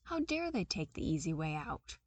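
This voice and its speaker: A woman reading fan fiction aloud as narrator, with expressive, lively storytelling. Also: low voice, normal speed, dry recording. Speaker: podfic reader.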